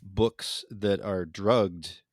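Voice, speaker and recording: Low-voiced man, playful podcast guest. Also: fairly even intonation, drawn-out words, no echo, studio quality. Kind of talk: casual podcast chat.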